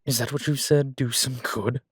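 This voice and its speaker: A man playing a guilt-ridden, gentle and wry ancient vampire in an intimate audio roleplay, speaking in a halting, reflective way. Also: medium pitch, steady tone, breathy edge, no room sound, studio quality. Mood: fond, amused. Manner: halting, reflective, with sighs and soft chuckles. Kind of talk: intimate one-sided audio roleplay acting.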